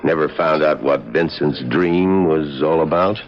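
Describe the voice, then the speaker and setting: An actor playing a grave, probing male questioner in an old-time radio drama, with measured, inquisitive delivery. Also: rumbling low. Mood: calm probing. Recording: dull lo-fi vintage radio broadcast.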